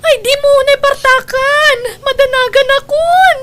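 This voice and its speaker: High, bright young Ilocana. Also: female voice, fairly even intonation, a little slow. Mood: frightened. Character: young female, anxious girlfriend or dutiful daughter. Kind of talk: Ilocano radio drama acting. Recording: slightly noisy radio drama track.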